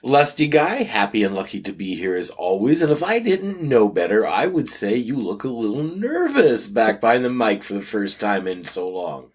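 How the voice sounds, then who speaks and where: A man with a husky voice co-hosts a podcast. His delivery is highly varied in pitch, and he banters casually in a playful, wry way. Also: huge pitch swings, moderate pace, hardly any pauses. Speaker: podcast co-host.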